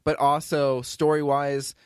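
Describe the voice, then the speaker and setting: A man's voice: fairly high pitch, flat delivery, unhurried, clean tone, no echo. Talkative man chatting casually, dry close sound. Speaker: podcast writer and producer, host. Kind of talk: casual behind-the-scenes chat.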